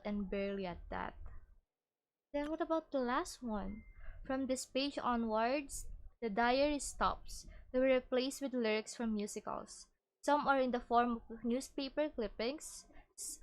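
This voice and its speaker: A woman game streamer with a fairly high voice. She chats casually as she plays and reads text aloud with feeling, now and then tripping over words. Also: rough recording. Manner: expressive, stumbles over words when reading aloud.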